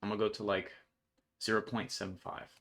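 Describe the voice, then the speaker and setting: A man's voice: lowish voice, level tone, fairly quick, creaky, fairly bright tone. A young man giving an informal code walkthrough in an offhand, slangy way. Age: young adult. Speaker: programmer presenting his project. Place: indoor room at home.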